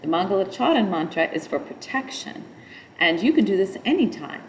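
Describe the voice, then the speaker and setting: A middle-aged woman with a nasal voice, teaching yoga in a relaxed, rambling way. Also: medium pitch, a little fast, a little hiss or hum, rough recording. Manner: chants mantras in a nasal sung tone between explanations. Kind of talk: spoken yoga instruction.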